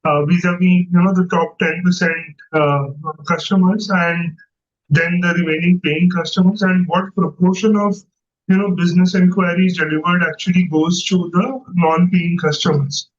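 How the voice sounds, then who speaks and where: A man's voice: high voice, steady tone, moderate pace, muffled recording. A man calling in as an analyst, asking hesitant, rambling questions on an earnings call over an unclear line. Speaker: analyst caller.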